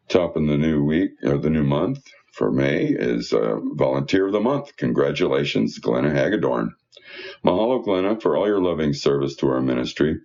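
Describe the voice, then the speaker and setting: A man's voice: extremely low pitch, gravelly, darkish tone. A man reading church newsletter material aloud, now and then stumbling over his words, in a dull, muffled recording. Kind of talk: read-aloud church announcements and prayer.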